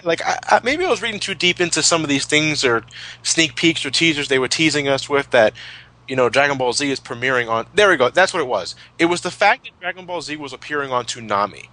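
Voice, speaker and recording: A man in his twenties chatting casually as a podcast commentator, reminiscing in a halting, sweary way and often repeating his words. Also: fairly high pitch, animated, bright, forceful tone, rough recording. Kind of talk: casual retrospective chat, reminiscing.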